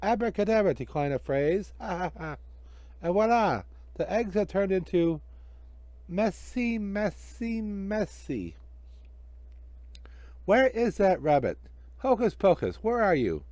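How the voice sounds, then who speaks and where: Storybook villain, played by a man: blustering, greedy magician, theatrical scolding, raised animated pitch, dry sound. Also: slow pace, long pauses, no echo, full character voice. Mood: pompous, frustrated. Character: vain, grouchy, greedy bad male stage magician.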